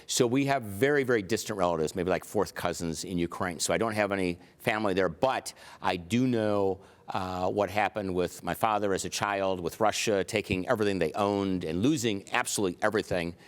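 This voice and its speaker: Low-voiced midwestern sheriff guy in his 60s, earnest, lots of uh's. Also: fairly quick, hardly any pauses. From United States. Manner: earnest, emphatic, many fillers. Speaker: county sheriff, guest. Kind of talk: TV interview answers.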